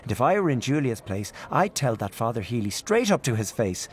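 A man's voice: medium pitch, sing-song, brisk, close to an everyday voice. A man voicing an indignant, fiercely opinionated elderly aunt who speaks emphatically.

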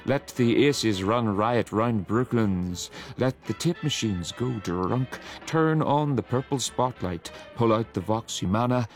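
A man giving a measured, declamatory reading of a long poem. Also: mid-range voice, lively intonation, faint music behind. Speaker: poetry reader. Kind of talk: dramatic poetry reading.

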